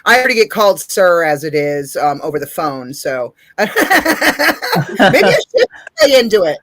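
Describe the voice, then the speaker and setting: A woman with a deep voice hosting a casual interview, blunt and profane, laughing often. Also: big pitch swings, measured pace, fairly bright tone, dry recording. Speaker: podcast host.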